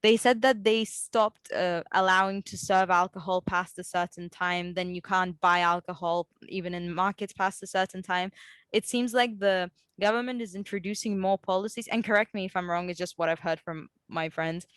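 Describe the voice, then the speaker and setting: A woman with a smooth, resonant voice chats on a podcast, recorded dry and close with no room sound. She talks conversationally and asks the others follow-up questions. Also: on the low side, steady tone, normal speed, a bit bright, no room sound. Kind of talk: casual podcast discussion.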